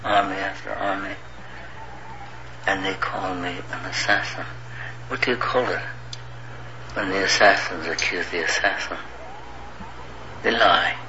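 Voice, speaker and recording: Out of a muffled, hiss-laden film clip comes a brooding renegade colonel. His voice sits very deep and barely moves, and he drags each phrase out slowly in a low half whisper. He sounds menacing and older. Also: steady tone. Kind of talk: film dialogue.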